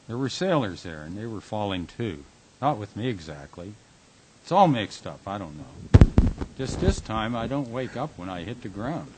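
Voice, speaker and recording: An actor playing a tormented, haunted man in a radio drama, speaking in a brooding, halting, intense way. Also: fairly low pitch, sing-song. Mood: shaken, confused. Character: Jack, a tormented man haunted by falling dreams. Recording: hissy amateur recording.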